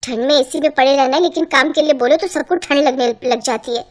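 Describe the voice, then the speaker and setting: A woman voicing an exasperated, sarcastic young daughter-in-law in a cartoon, complaining with a sharp tongue. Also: high-pitched, fairly quick, a little hiss or hum, close to an everyday voice. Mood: grumbling. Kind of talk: Hindi cartoon voice acting.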